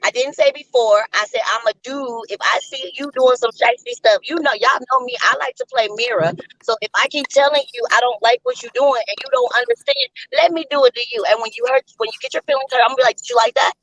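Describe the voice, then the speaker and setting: A fast-talking woman argues her point insistently in a casual chat, cutting in quickly. Her voice sits a little high, with faint noise behind it. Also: a bit bright, rough recording.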